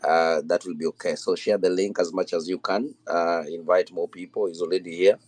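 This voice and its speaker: A man's voice: low-pitched, steady tone, a little fast, darkish tone. A man hosting a live audio discussion, talking in an upbeat, repetitive way with many fillers. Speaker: host.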